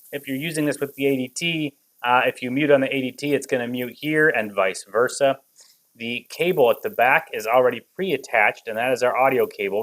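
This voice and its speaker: A man reviewing a product in an unscripted walkthrough, explaining things step by step. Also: medium pitch.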